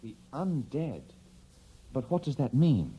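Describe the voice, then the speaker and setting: An actor playing an earnest younger Victorian doctor, emotional and incredulous, in an audio drama. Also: male voice, mid-range voice, very animated, takes it slowly, mellow, a little hiss or hum, poor audio. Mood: sceptical.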